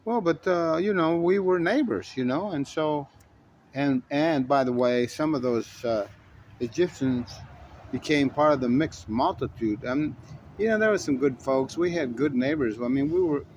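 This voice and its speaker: A man's voice: fairly high pitch, normal speed, a little mellow, muffled recording, a little hiss or hum. A man in his shop telling a story in the first person, in a folksy, meandering way full of restarts and self-corrections.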